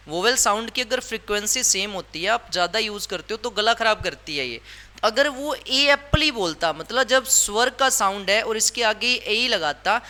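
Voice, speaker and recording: A man's voice: high voice, bright, forceful tone. A male English grammar teacher explaining a lesson step by step, repeating his points and often asking the class questions.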